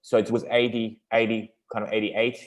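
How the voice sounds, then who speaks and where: A man, answering interview questions in halting, English and searching for words. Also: lowish voice, level tone. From Iran until age six, then Germany. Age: 30s. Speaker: company owner being interviewed.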